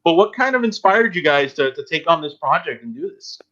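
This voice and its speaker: A man hosting a podcast, interviewing guests casually in a halting delivery with frequent pauses. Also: on the high side, very animated, fairly bright tone, dull audio. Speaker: podcast host.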